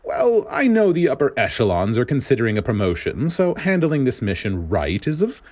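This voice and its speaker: A man voicing a smug, middle-aged director who wheedles in an oily, drawn-out way, in a story reading. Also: medium pitch, big pitch swings, darkish tone, pitched above the performer's own voice. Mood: smug wheedling. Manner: oily, drawn-out persuasion, stammering when cornered.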